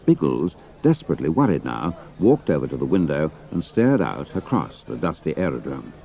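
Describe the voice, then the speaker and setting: A man's voice: a bass voice, sing-song, normal speed, vocal fry, warm tone. A man giving a dramatic scripted narration of an adventure story.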